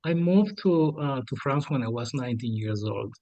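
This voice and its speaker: A middle-aged man from Cuba, a native Spanish speaker, telling his story as an interview guest, halting with many fillers and restarts. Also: mid-range voice, expressive, creaky, mellow, dry recording, low-quality recording. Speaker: guest, cafe founder. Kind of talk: casual interview.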